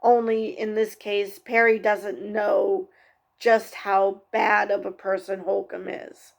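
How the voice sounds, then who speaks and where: A woman casually recapping a TV episode, halting often with ums and correcting herself mid-sentence. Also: medium pitch, flat delivery, vocal fry. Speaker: TV review vlogger.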